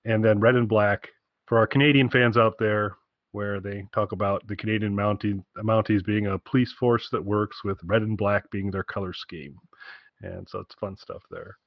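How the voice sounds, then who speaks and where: A man's voice: lowish voice, steady tone, moderate pace, no echo, highs cut off. A man co-hosting a podcast, rambling casually and enthusiastically about music recommendations with wry asides. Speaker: podcast co-host giving album recommendations.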